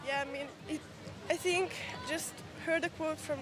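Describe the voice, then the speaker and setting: A young woman, an athlete in a casual post-race interview, speaking haltingly as she searches for words. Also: high voice, fairly even intonation. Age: young adult. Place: outdoors at a race venue. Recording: crowd and music behind.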